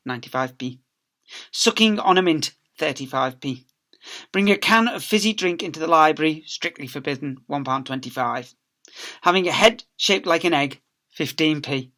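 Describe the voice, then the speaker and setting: Bright, forceful man reading a story aloud. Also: fairly high pitch. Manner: theatrical emphasis with acted sound effects. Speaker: teacher reading aloud to schoolchildren. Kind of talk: animated storytelling.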